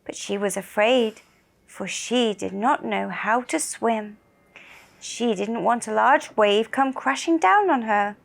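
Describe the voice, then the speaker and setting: A woman telling a children's puppet story in a gentle, deliberate and soothing manner. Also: on the high side, creaky. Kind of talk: slow narrated children's story.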